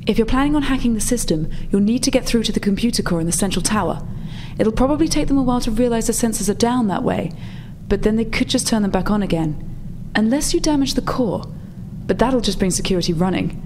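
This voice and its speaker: A woman playing a brisk, practical rebel contact in a tabletop roleplay, explaining things matter-of-factly. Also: a little high, animated, background noise, lightly acted. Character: female rebel contact, brisk, practical, slightly flustered insider technician.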